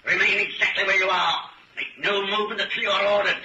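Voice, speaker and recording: Cold, echoing, mocking telescreen voice. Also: male voice, high-pitched, flat delivery, fast talker, muffled recording. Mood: menacing command. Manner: clipped commands, taunting echo of others' words. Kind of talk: 1940s radio acting. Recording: echoing, distant, very noisy old radio recording.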